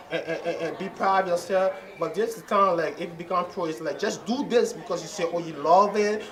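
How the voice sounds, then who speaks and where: Young guy from liberia, earnest, keeps repeating himself, slightly high voice, loud crowd behind. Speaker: bodybuilding champion. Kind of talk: post-show interview. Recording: loud crowd at a contest venue.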